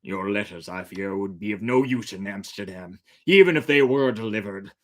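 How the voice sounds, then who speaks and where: A reader voicing a tense, firm male ship captain who speaks in a measured, grave way. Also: sits low, expressive.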